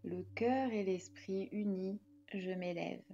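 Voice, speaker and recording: A woman leading a guided relaxation meditation as a yoga nidra guide, calm and soothing in her delivery. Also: mid-range voice, takes it slowly, highs cut off.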